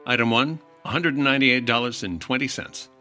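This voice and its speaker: An actor playing a suave, wisecracking 1950s insurance investigator in old-time radio detective style, with a dry manner. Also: male voice, mid-range voice, fairly quick, pushed and bright, faint music behind, close to an everyday voice. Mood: crisp narrating.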